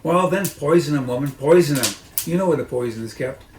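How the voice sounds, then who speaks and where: A man voicing a bossy, callous woman in a storybook, calling out loudly and impatiently. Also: a little high, expressive, a little fast, lightly acted. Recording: echoey room, noisy.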